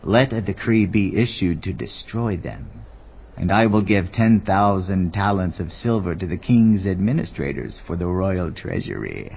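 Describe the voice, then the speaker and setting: An actor playing a villainous, persuasive royal official in a dramatized scripture production, with a gravelly voice. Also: male voice, low-pitched, sing-song, moderate pace, dull audio, full character voice. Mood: scheming.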